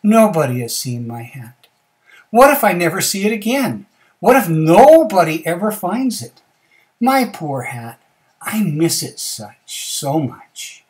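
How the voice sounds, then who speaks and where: Someone doing a sad polite bear who lost his hat, slow n plaintive, voice swoops up a lot. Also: mid-range voice, sing-song, distant mic, pitched above the performer's own voice. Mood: despairing.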